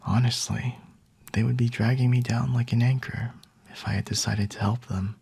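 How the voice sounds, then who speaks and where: Male actor as aloof, seductive vampire for ASMR roleplay: very breathy, unhurried, dry close-mic whisper, intimate and teasing. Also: medium pitch, fairly even intonation, no echo. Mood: aloof, smug. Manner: slow, hushed, haughty and seductive, with lingering pauses. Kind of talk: ASMR roleplay, scripted monologue to the listener. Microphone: close-up microphone.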